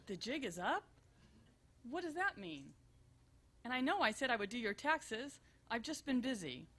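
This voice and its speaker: A woman's voice: on the high side, very animated, normal speed, fairly bright tone. A woman playing an earnest, busy and exasperated grown daughter in a live comedic radio show, with emphatic theatrical delivery. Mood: puzzled. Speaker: stage performer in a benefit show.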